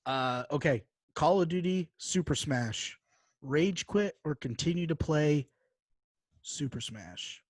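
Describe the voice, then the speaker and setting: A man's voice: a little high, animated, slow, husky, dry recording, studio quality. A man hosting a podcast in casual chat, bantering informally with plenty of slang. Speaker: podcast host.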